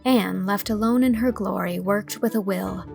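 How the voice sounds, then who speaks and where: A woman narrating a literary audiobook, telling the story in a measured, expressive way from a script. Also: mid-range voice, gravelly, faint music behind.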